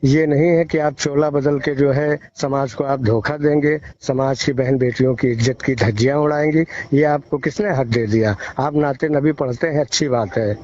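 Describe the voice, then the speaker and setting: A man's voice: steady tone, moderate pace, few pauses, darkish tone. A male field reporter giving emphatic, moralizing opinions in a news phone interview, often repeating phrases. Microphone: phone call. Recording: muffled, echo-free low-fidelity phone call recording.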